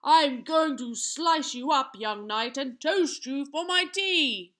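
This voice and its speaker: A woman voicing a wicked, boastful giant man in a children's storybook, booming, slow and taunting. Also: expressive, pushed and bright, exaggerated voice. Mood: mocking threat.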